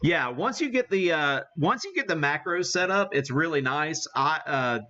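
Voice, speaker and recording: A man's voice: on the high side, lively intonation, moderate pace, pushed and bright, dull audio. An American man hosting a casual live radio demonstration, thinking aloud in a folksy way. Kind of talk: casual live-stream chat while operating.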